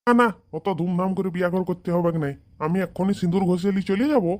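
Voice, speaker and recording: Eager, smitten comedy cartoon suitor played by a man, pitch-altered voice, colloquial and agreeable. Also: fast talker, darkish tone.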